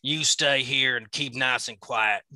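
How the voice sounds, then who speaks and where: A man voicing a gruff, weary backwoods rifleman with a put-on Southern drawl, low and coaxing. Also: steady tone, measured pace, sharp tone, dry recording.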